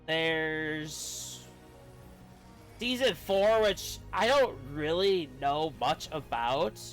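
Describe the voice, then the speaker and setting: A man chatting casually on a game livestream, rambling and halting as he talks. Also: on the high side, lively intonation, a bit bright. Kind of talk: casual chat while streaming a game. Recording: game music behind the voice. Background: video game music.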